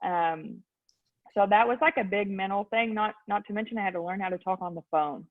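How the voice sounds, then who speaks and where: A woman's voice: mid-range voice, a little fast. A young adult woman with a Texan accent, a real estate agent answering interview questions in a youthful voice, earnest and full of ums. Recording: tinny phone-like line.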